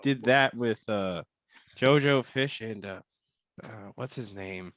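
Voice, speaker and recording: A man playing a tabletop roleplaying game, speaking in character with halting, impatient phrasing. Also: on the low side, a bit bright, no echo. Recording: dry, muffled mic.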